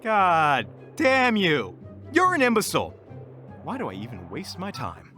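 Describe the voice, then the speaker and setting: A forceful, swooping villain of fantasy audio drama. This vain evil sorcerer talks slowly and grandly, with pompous dramatic pauses and a temper that is easily ruffled. Music plays behind him. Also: male voice, high-pitched, wildly swooping pitch, exaggerated voice. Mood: flustered, indignant. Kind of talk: fantasy audio roleplay acting.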